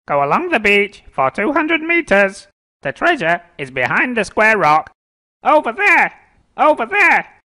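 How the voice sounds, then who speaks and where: Excitable talking parrot, children's cartoon; bright, forceful squawk, swooping delivery. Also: medium pitch, measured pace, heavily acted. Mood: excited. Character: excitable talking parrot reading map directions. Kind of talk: children's cartoon story acting.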